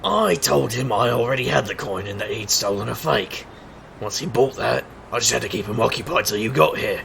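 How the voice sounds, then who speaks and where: A cocky trickster detective played by a man in an audio drama, his voice heavy with gravel and edged bright. He works like a street magician, wry and theatrical, with showy patter over faint background noise. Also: medium pitch, lively intonation, fairly quick. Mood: wry, explaining. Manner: showman's sing-song patter, wry and theatrical. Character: male street magician private investigator, cocky, wisecracking trickster. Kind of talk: scripted audio drama acting.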